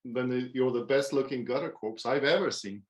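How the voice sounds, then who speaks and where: A woman's voice: rumbling low, normal speed. A woman playing an easygoing, flirty film buyer in a conversational table read over a video call. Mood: easy, teasing. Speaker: actor in a screenplay table read. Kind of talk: conversational script reading.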